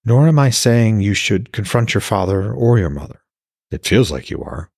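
A man voicing a gentle, loving young woman in a fantasy audiobook, speaking softly and earnestly with sad resolve. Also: fairly low pitch, very animated, normal speed, creaky, husky, dry recording, studio quality, full character voice. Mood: firm, earnest. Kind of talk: fantasy audiobook character dialogue.

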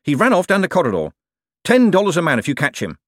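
A man's voice: on the low side, expressive, moderate pace, breathy edge, no room sound, studio quality. A man voicing a glib, commanding postmaster in an audiobook, snappy and indignant.

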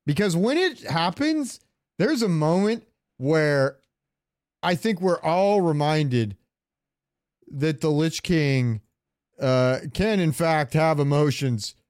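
A man's voice: fairly high pitch, slow pace, no room sound, studio quality. A man hosting a podcast in casual fan chat, talking freely and profanely. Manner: profane, animated retelling with quoted lines. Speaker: podcast host.

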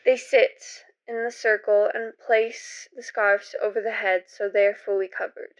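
A woman's voice: mid-range voice, flat delivery, unhurried, muffled recording. A woman giving a scripted first-person narration of a story.

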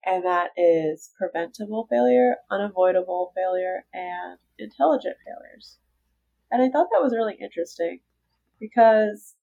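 A woman chatting on a podcast, her voice stuffy from allergies. She sounds wry and easygoing, with a mid pitch, and the recording is slightly dull and muffled. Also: vocal fry, darkish tone. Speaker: podcast co-host. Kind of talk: casual chat.

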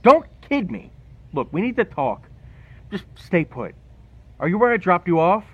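A man's voice: sits high, sing-song, a little slow, breathy edge, full character voice. A man playing an irate, bossy friend on a phone call in an audio drama. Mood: irate, demanding. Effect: phone call filter. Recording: dull, lo-fi phone line.

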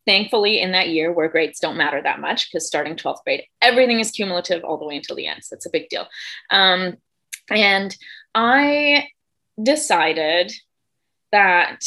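A woman's voice: on the low side, fairly bright tone. A woman from Germany, a teacher telling a story as a podcast guest in a chatty way, with lots of fillers and self-corrections. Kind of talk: casual storytelling.

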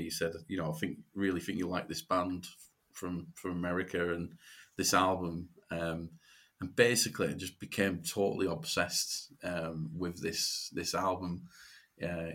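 A man from Cumbria with a Northern English accent, a musician answering interview questions in a halting, informal, conversational way. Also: deep voice, a bit bright. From Cumbria, England. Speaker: guest musician.

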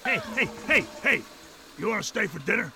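A man playing a laid-back, gruff talking toilet in a children's audio play. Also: sits high, sing-song, brisk, heavy background noise. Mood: casual. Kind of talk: children's audio theater acting.

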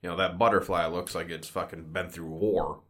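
A man's voice: low-pitched, little pitch movement, moderate pace, a bit bright. A young man co-hosting a podcast, chatting in a laid-back way with frequent swearing and restarts. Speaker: podcast co-host. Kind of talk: casual chat.

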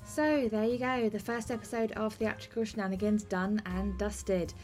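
A woman's voice: medium pitch, steady tone, moderate pace, darkish tone, faint music behind. A British woman hosting a podcast, talking conversationally about theatre writing as a playwright. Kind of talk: conversational interview and discussion.

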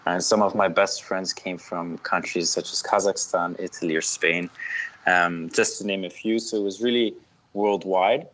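A man in his thirties from Switzerland, a guest telling relaxed stories in an interview, with fillers and laughter along the way. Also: sits low, moderate pace, slight background noise, low-quality recording. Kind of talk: casual interview.

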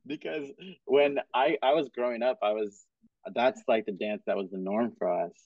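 A man who grew up in Cameroon and Kenya, hosting a podcast in a relaxed, encouraging way and laughing often. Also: medium pitch, normal speed, no echo. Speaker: podcast host. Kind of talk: casual interview chat. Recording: tinny, dry phone-like sound.

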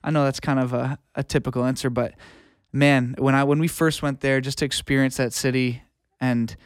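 A man's voice: medium pitch, flat delivery, normal speed, clean tone, dry recording, studio quality. A man talking casually and informally as an interview guest, telling stories about his work in a laid-back, enthusiastic way. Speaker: interview guest, music producer and audio engineer. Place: room at home.

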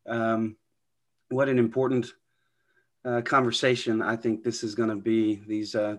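A man hosting an interview podcast, upbeat and affirming, introducing guests and asking questions. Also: medium pitch. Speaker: podcast host.

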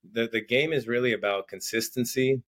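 A man's voice: mid-range voice, normal speed, a bit bright. A middle-aged man, a guest on an interview podcast, talking earnestly in casual chat and often repeating words as he starts his sentences.

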